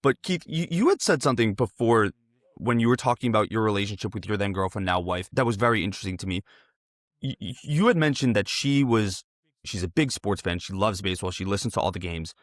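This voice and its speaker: A man's voice: fairly low pitch, lively intonation, fast, no echo. An American man hosting a casual interview, talking in a chatty, informal and wordy way. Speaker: interview host.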